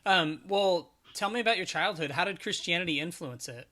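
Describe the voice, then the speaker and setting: Higher-voiced male podcast host in his thirties. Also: a little fast, bright, forceful tone. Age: 30s. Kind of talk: conversational interview hosting.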